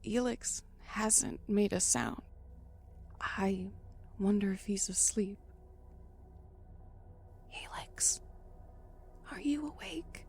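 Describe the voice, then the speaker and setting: A woman's voice: mid-range voice, lively intonation, very slow, vocal fry, long pauses, a little mellow, in a whisper, full character voice. An actress playing a frightened but defiant trapped woman in a first-person thriller audio drama, with dramatic, suspenseful delivery. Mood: hushed, wary. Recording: music under the voice.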